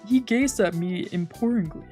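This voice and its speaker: A woman's voice: medium pitch, normal speed, dark tone, soft background music. A woman streamer narrating a visual novel aloud in a let's play, reading dramatically and sometimes stumbling over words.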